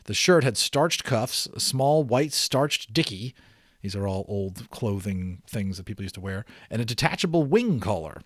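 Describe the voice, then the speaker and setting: A man narrating a storybook aloud in an expressive, explanatory way, as an audiobook reader. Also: on the low side, moderate pace, no echo, clean studio sound.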